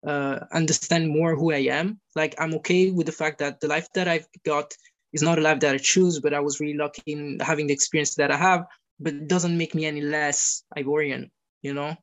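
A young man, a guest talking casually in an interview and often pausing with fillers while he searches for words in a language that is not his first. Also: fairly high pitch, steady tone, a bit bright. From Italy. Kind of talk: casual conversation.

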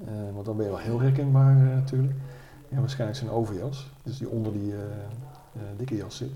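A man's voice: on the low side, dark tone. A man giving informal expert explanations in an interview, thinking aloud in hesitant pieces. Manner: hesitant, piecing thoughts together. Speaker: expert interviewee, military historian. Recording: echoey room, faint noise.

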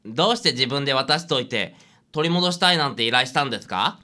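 Woman playing a sharp, bright, flighty young man. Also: rumbling low, animated, fast, full character voice. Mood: puzzled. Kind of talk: radio drama acting.

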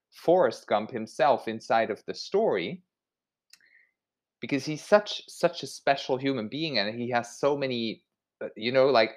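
A man from Switzerland giving an informal motivational talk as an activist, speaking in a conversational way. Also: lowish voice. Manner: conversational, acts out imagined dialogue.

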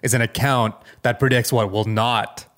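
A man co-hosting a podcast in casual chat, thinking aloud in a tentative, halting way. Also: mid-range voice, fairly even intonation, a bit bright. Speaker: podcast co-host.

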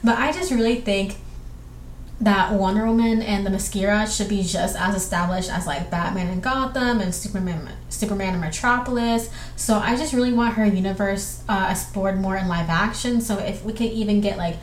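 A young woman from southwestern Georgia with a Southern accent, hosting a casual solo podcast and rambling through listener questions with lots of fillers. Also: medium pitch. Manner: rambling, chatty, filler-heavy, quick bursts. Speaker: podcast host. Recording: echoey room with faint background noise.

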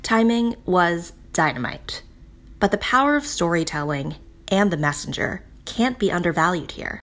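A woman reading scripted documentary narration in a measured, polished way. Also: fairly low pitch, expressive, a bit bright. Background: background music.

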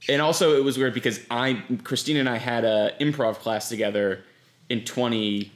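A young man hosting a podcast, chatting casually in halting bursts with many restarts and "like". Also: mid-range voice, fairly even intonation. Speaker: podcast host. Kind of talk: casual chat.

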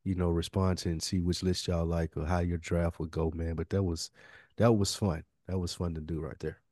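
A man's voice: deep voice, level tone, moderate pace, dark tone, no room sound, studio quality. A man co-hosting a podcast in casual chat, agreeable and slangy in the way he talks. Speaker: podcast co-host.

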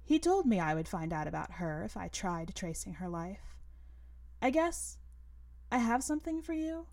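A woman voicing an excited, friendly teenage girl gamer who talks in an eager, chatty, questioning way. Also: mid-range voice, moderate pace, dry recording.

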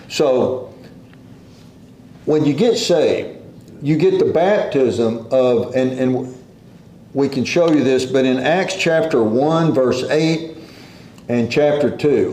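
Slow, mellow-voiced preacher man. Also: medium pitch, echoey room, poor audio. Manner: unhurried, folksy preaching with scripture quotes. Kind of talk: sermon.

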